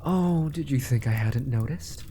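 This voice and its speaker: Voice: medium pitch, lively intonation, a little slow, dark tone, slight background noise. An actor playing a menacing, centuries-old sorcerer-scientist in a fantasy audio drama, with a smug, theatrical delivery that slips into whispers. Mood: cold menace.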